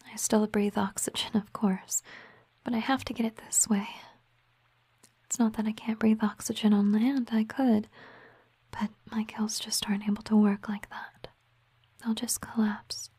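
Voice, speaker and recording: A tender mermaid girlfriend played by a woman whispers slowly and intimately in an ASMR roleplay. Her voice is breathy and very even, with a wistful, devoted softness, recorded close and dry. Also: mid-range voice, no echo. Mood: gentle. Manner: slow, hushed, tender, hesitant. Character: gentle, wistful, devoted young female siren mermaid girlfriend.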